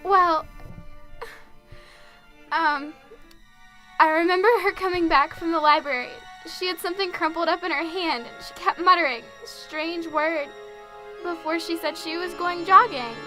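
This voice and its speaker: Grieving young student, played by a woman, very high and bright, raw and sharp-edged. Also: fairly even intonation, a little slow, long pauses, soft background music, exaggerated voice, rough recording. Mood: bitter. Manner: raw, bitter, on edge. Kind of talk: amateur radio drama acting.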